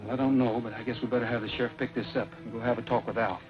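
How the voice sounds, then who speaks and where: Man as cowboy hero, brisk delivery, calm, decisive, plain-spoken, Western drama, muffled old recording. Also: mid-range voice, over loud music, close to an everyday voice. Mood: serious. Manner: plain-spoken, steady, reassuring. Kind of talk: mid-century Western drama acting. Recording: dull, noisy old radio recording.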